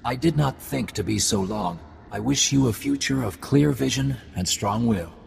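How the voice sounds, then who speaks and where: An actor playing a solemn male alien mystic in a video game, speaking in a grave, formal, ceremonial way with a gravelly voice. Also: medium pitch, moderate pace, slight background noise.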